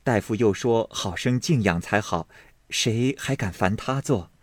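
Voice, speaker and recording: A man voicing a gentle young maid in an audiobook reading of a classic novel, speaking softly and mildly. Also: mid-range voice, normal speed, husky, no room sound, close to an everyday voice. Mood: mild, coaxing. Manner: soft, hushed, mild.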